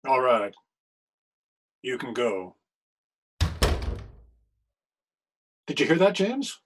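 A man playing an anguished, scholarly clergyman in a period radio mystery, speaking with formal, deliberate diction. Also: medium pitch, very animated, background noise. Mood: cool, controlled. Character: anguished, scholarly older clergyman posing as crime boss.

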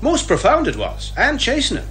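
Chatty irish cop, bright lively voice, folksy and hearty, radio comedy style. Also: male voice, very high voice, moderate pace, slight background noise, full character voice. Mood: hearty, grateful. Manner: folksy, effusive. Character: hearty, grateful, talkative Irish male police officer. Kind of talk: 1980s British radio comedy drama acting.

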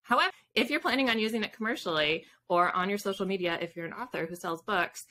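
Bright-voiced woman chatting casually. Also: medium pitch, animated, fast talker. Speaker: guest author. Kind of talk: casual interview chat. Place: home room with bookshelves, indoors. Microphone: video call.

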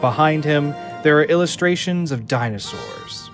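A man narrating the scene descriptions of an audio drama in a plain, even reading. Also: on the high side, normal speed, over music. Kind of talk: scripted narration of stage directions.

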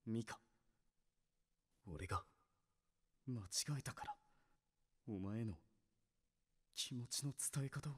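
A man playing a brooding, guilt-ridden young teammate in an anime visual novel, speaking haltingly and low-key. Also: slightly rough, in a large hall. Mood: troubled.

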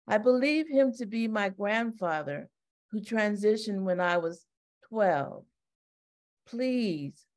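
Middle-aged woman, unhurried solemn poetry reading over a webcam, mid-pitched voice. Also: darkish tone. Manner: slow, measured, solemn delivery. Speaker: co-chair reading her own poem. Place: home, indoors, video call. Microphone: webcam on a video call.

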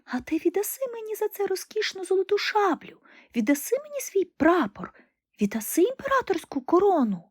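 A tiny, wise nightingale, as a woman reading the tale puts him on, pitched far above her usual voice. He speaks softly and airily, with a gentle, breathy lightness. The recording is close and dry. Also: very animated, brisk, no echo. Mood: coaxing. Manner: soft, high and airy.

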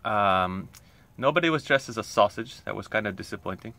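A man telling a comic story in an earnest, rambling way, pausing often. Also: fairly low pitch, lively intonation, sharp tone. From Poland. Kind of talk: comic monologue.

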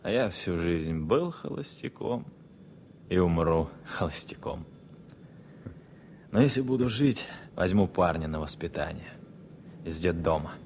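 An actor playing a musing bachelor airman, reflective and unhurried, in a 1970s Soviet radio play. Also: sits low, expressive, gravelly, darkish tone, full character voice. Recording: faintly noisy vintage radio recording.

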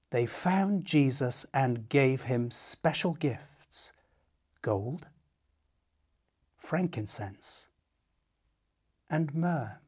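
A man reading a children's story aloud in an expressive, dramatic and deliberate way. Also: medium pitch, husky. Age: 50s. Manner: animated, dramatic, deliberate, addressing young listeners.

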